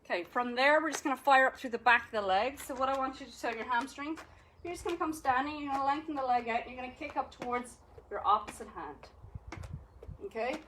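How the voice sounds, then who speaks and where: A woman's voice: high-pitched, fast talker, bright, forceful tone, a little hiss or hum, poor audio. A woman with an Irish accent teaching a live exercise class, cueing each movement and counting reps with encouragement. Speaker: fitness instructor.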